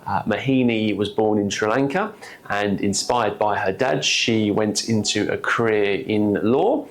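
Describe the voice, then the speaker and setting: A man's voice: on the low side, measured pace, low-quality recording. A man hosting a podcast, introducing his guest and asking questions in a loose, hesitant way with many fillers. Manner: hesitant, lots of uh and yeah fillers. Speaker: podcast host. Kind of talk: casual interview. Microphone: podcast studio mic.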